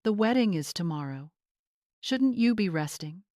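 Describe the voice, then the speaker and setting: A woman voicing a quietly formidable older mother in an audiobook story, measured and restrained. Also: mid-range voice, lively intonation, no room sound, clean studio sound, natural voice. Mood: calm, guarded. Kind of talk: dramatized audiobook character voice.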